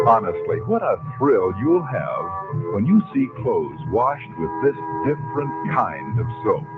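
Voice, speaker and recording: Casting: male vintage radio announcer, lowish and very animated, emphatic scripted delivery over loud music. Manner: theatrical, emphatic phrasing. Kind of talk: old-time radio announcing, scripted narration and sponsor pitch. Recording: tinny old radio recording with music.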